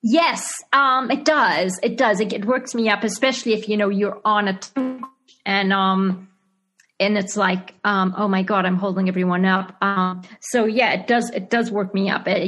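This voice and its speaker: Zimbabwean actress, interview guest, mid-pitched, halting, laughs easily. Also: female voice, steady tone, a bit bright. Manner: hesitant, many fillers and restarts, laughs often. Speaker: actress and screenwriter being interviewed. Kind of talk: casual interview answers.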